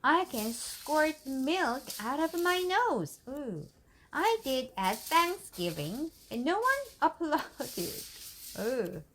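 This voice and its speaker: Lively high-voiced mom reading. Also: female voice, slow pace, a little hiss or hum. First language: Korean. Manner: animated, playful reading with giggles. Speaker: mother reading to her child. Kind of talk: bedtime storybook read-aloud.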